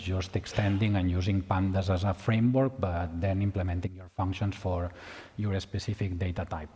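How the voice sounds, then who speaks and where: A man's voice: sits low, darkish tone. Spanish-accented man in an echoing hall. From Spain. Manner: hesitant, many restarts and repeated words. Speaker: tutorial presenter. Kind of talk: conference tutorial. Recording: long hall reverb.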